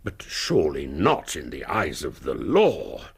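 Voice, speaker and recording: Gravelly, anguished English duke. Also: male voice, very deep voice, wildly swooping pitch, full character voice. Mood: anguished pleading. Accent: English, upper-class. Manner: formal, grave, halting under strain. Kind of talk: radio period drama acting.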